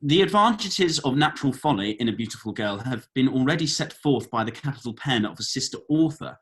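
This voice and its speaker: A man's voice: medium pitch, fairly bright tone, poor audio. A man reading the part of an easy-going, teasing young working-class man in a comedy script, playful and colloquial. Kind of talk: table read of a comedy screenplay.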